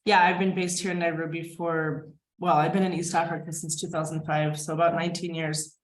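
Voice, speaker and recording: Woman with a low voice on an echoey call. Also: fairly quick. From United States. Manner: relaxed, thoughtful, with frequent fillers. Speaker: guest, permaculture designer. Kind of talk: conversational video-call interview. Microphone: video call. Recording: echoey room on a video call.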